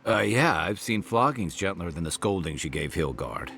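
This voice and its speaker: A man's voice: low-pitched, very animated, normal speed, creaky, fairly bright tone, soft background music, heavily acted. A man playing a wry, wary travelling companion in a fantasy video game, with dry, colloquial, sardonic delivery. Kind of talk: naturalistic game voice acting.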